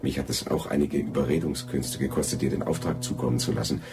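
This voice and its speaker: Very deep-voiced false friend. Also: male voice, fast talker, creaky, music in the background. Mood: placating. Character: smooth-talking, condescending false friend, a gentleman. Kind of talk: German radio drama acting.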